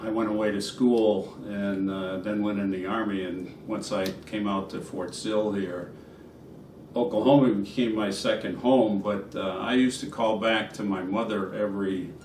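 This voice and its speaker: An older man with a New England accent and a very deep voice rambles through memories, pausing often on fillers. He sits far from the mic in an echoey room with clear background noise. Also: a little mellow. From Massachusetts. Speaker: interviewee. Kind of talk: casual conversational interview.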